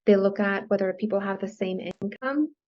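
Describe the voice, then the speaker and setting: A woman's voice: medium pitch, moderate pace. A woman guest whose first language is Spanish, raised on the US-Mexico border, explaining money matters in an enthusiastic interview manner and often tagging sentences with "right?". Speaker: guest, financial coach. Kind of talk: conversational interview. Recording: dull lo-fi podcast audio.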